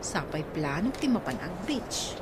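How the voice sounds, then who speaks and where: A woman playing an excitable, exasperated middle-aged unmarried aunt in a radio comedy drama, with an Ilocano accent and exclamatory delivery. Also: fairly low pitch, a little slow. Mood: chatty, doubtful. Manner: exclamatory, expressive. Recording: very noisy audio with birds and traffic.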